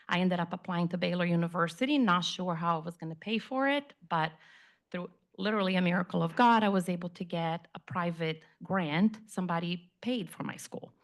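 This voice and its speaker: Chatty middle-aged Brazilian woman, slightly low voice. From Rio de Janeiro, Brazil. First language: Portuguese. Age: 50s. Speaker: guest speaker, community relations executive. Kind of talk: informal self-introduction talk to an audience.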